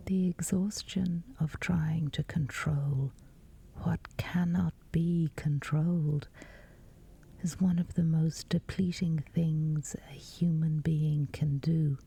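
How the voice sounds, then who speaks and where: A woman guiding a sleep meditation in a hushed, whispery, unhurried voice with long pauses, reading as scripted narration. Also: low voice, fairly even intonation, vocal fry, slightly rough.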